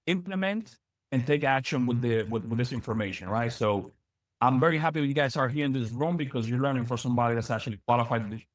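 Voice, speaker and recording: A young man from the Dominican Republic giving an energetic live business training lecture, repeating words and using many fillers. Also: mid-range voice, no room sound. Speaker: business coach.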